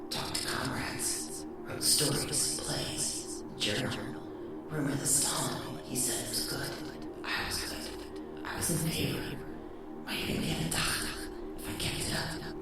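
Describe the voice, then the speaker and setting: A woman playing a bitter, betrayed socialist writer in an audio drama, speaking in hushed, breathy, clipped incantatory phrases. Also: a bass voice, big pitch swings, measured pace, exaggerated voice. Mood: bitter, wry. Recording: heavy echo, long reverb, loud music behind. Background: loud music.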